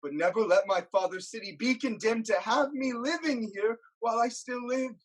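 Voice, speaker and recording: A woman's voice: a little high, moderate pace, breathy edge. A woman playing an anguished, self-loathing blinded king in a declamatory, lamenting style of Greek tragedy. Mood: somber pleading. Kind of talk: theatrical Greek tragedy acting.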